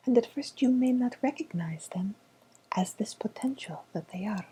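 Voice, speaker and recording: A woman playing a soft-spoken fortune teller in an ASMR role-play, with a made-up foreign accent and a gentle, hesitant, whispery delivery. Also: mid-range voice, breathy edge, poor audio. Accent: made-up, indeterminate foreign. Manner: slow, hesitant, gently reassuring, with pauses. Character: softly spoken mystical fortune teller woman reading tarot cards.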